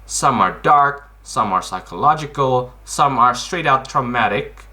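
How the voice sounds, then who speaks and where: A man hosts a casual explainer in a bright, punchy voice. His pitch moves around a great deal as he stresses his points. He is blunt and informal, posing questions and then answering them himself. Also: animated, unhurried. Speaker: video host.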